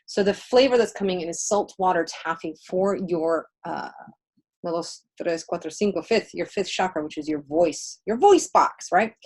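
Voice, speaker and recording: A woman whose first language is Spanish, co-hosting a casual spiritual livestream and giving readings in an emphatic, conversational way with pauses for effect. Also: on the low side, husky. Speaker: co-host of a spiritual healing livestream.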